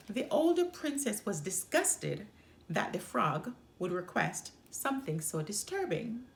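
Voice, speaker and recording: A woman hosting story time, reading a children's storybook aloud in an expressive narrating style. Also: medium pitch, vocal fry, a little hiss or hum. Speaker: storytime host.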